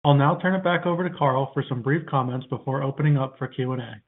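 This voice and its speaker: A man's voice: medium pitch, fast talker. A man working as a conference call operator, giving scripted announcements in a formal, procedural manner. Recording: narrowband phone line.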